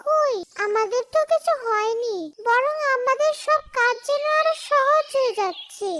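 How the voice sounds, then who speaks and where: A woman's voice: extremely high pitch, a little slow, fairly bright tone, a little hiss or hum. A woman voicing a cheerful, trusting young wife in a cartoon, speaking in a friendly, reassuring way. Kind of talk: cartoon voice acting.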